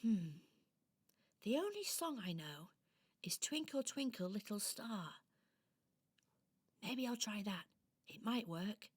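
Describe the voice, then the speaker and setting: A woman's voice: mid-range voice, sing-song, slow, pauses often, husky, heavily acted. A woman voicing a small, brave toy doll girl, eager, kind and earnest, in a children's radio play. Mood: gentle. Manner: earnest, exclaiming, hesitant.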